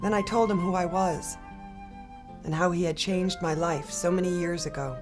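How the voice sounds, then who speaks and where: A woman reading a children's picture book aloud in a gentle, expressive storytelling manner. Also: on the low side, moderate pace. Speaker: storybook reader. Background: soft guitar music.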